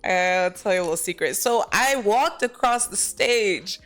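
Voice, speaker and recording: A woman's voice: medium pitch, animated, unhurried, fairly bright tone. A young adult American woman speaking casually as an actress being interviewed.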